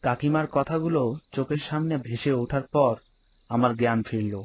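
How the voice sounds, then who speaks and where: A young man narrating a horror story in the first person, in a steady, earnest storyteller's way. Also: medium pitch, normal speed, slight background noise. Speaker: narrator and hero.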